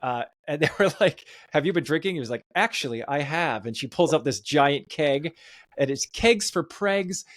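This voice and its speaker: Guy podcast host, kinda high voice, enthusiastic casual chat, dry close sound. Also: animated, normal speed, dry recording. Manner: emphatic, enthusiastic.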